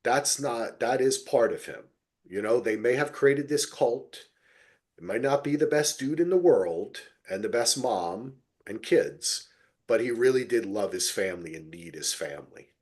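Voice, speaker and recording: Middle-aged male podcast host: husky tone, conversational and enthusiastic, loose unscripted recap chat. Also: mid-range voice, animated, a little slow, a little mellow.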